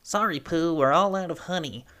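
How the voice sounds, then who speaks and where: Cheerful, encouraging storybook bee, done by the narrator, eager to please. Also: male voice, fairly high pitch, moderate pace, bright, forceful tone, pitched above the performer's own voice. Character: friendly eager male bee.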